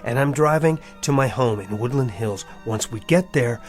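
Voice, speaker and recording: A man acting as a bewildered American husband in a radio drama. He sounds agitated and halting, pleading as he insists on who he is. Clear music plays behind him. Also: mid-range voice, close to an everyday voice. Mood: insistent. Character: bewildered, desperate husband insisting on his identity.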